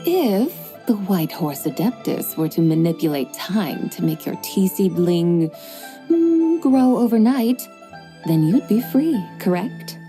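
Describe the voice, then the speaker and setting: A calm, mysterious young woman. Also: mid-range voice, takes it slowly. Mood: serene. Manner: composed, gently teasing. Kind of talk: game cutscene voice acting. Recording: soft game music underneath.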